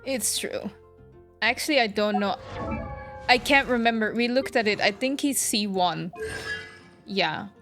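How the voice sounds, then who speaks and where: A woman's voice: mid-range voice, a little slow, pauses often. A woman chatting casually with her viewers as she streams a game, informal and reactive to their comments. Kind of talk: casual livestream chat with viewers. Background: music behind the voice.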